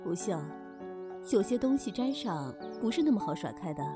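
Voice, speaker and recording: Actress as otherworldly deal-maker for fantasy radio play: cool, mocking, curt, lively intonation, music underneath. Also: female voice, medium pitch, normal speed, close to an everyday voice. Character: aloof, teasing, mysterious supernatural wish-dealer. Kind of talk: Chinese audio drama acting.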